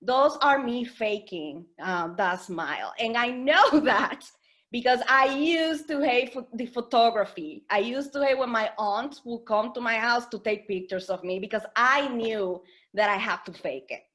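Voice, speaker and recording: A woman from the Dominican Republic speaks at a slow, unhurried pace, in a fairly high voice. She pauses often and searches for her words as she tells her own story. She is recorded over a video call. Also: fairly bright tone. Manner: hesitant, reflective, many fillers. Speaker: guest speaker, founder. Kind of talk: personal testimony at a webinar.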